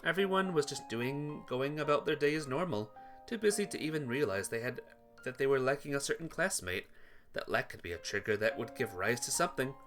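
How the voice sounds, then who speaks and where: Guy reading a visual novel out loud, pitch moves around a lot, rereads bits, music in the back. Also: lively intonation, a little fast. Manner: stumbles over words and rereads phrases. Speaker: video game player reading aloud.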